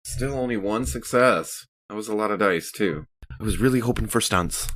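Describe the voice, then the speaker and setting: A man's voice: fairly low pitch, moderate pace, a bit bright. A man chatting casually as a player in a tabletop role-playing game.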